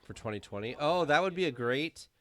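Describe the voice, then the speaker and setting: Playful man in his thirties, lively pitch. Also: fairly quick. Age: 30s. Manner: playful, teasing. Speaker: podcast co-host. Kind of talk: casual live-stream chat.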